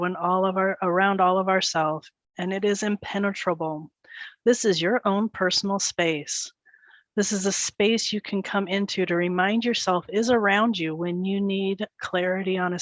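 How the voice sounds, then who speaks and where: A woman leading a guided meditation, speaking gently and soothingly in short phrases with pauses between them. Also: medium pitch, dry recording.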